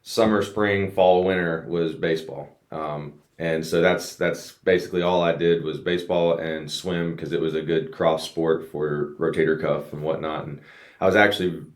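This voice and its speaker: A man's voice: very deep voice, steady tone, normal speed. A man raised in the United States, a race car driver, telling his story earnestly as a guest in a casual podcast interview. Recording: roomy echo.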